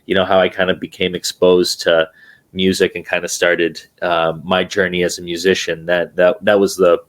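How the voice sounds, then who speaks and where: A man's voice: low-pitched, normal speed. A young man from New Hampshire, a drummer being interviewed over a video call, talking casually with many ums and restarts. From New Hampshire, USA. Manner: relaxed, hesitant with many fillers and restarts. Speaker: guest drummer. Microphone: remote video call.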